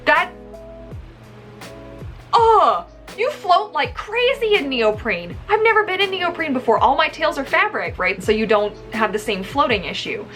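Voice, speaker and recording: A woman in her thirties speaks in a bright, fairly high voice, chatting casually as she tells a personal story. Her delivery is expressive and emphatic. She is recorded indoors at home, with music behind her. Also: measured pace. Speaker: vlogger telling a personal story. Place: small indoor room at home.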